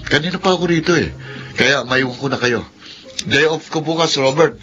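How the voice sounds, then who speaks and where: Male actor as chummy young pal, bright forceful voice, breezy, casual, radio drama, dull audio. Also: on the high side, moderate pace, lightly acted. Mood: breezy, polite. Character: friendly young man, breezy old school buddy. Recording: dull lo-fi audio, faint music behind.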